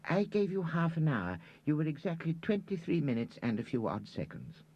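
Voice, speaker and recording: A man voicing a timid, fussy older gentleman who talks in a hesitant, prim, preachy way. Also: on the high side, very animated, moderate pace, highs cut off, pitched above the performer's own voice. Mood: nervous.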